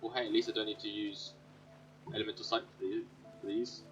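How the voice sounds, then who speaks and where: A man's voice: fairly low pitch, level tone, brisk, soft background music. Man giving casual, dull-sounding gameplay commentary. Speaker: gamer. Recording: dull lo-fi voice mic.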